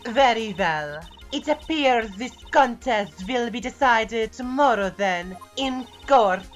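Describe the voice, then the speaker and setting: Woman acting a high-voiced, cold, imperious villainess. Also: lively intonation, slow, lo-fi sound. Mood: cold, disdainful. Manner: curt, disdainful. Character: cold, menacing, imperious female studio producer. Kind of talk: video game fan dub acting. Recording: faint background music behind voice.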